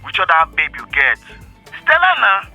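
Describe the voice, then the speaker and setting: A man's voice: on the high side, wildly swooping pitch, normal speed, bright, forceful tone, dull audio, soft background music, full character voice. An actor with a Nigerian accent playing an easygoing, teasing young man in an audio drama. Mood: insistent, concerned.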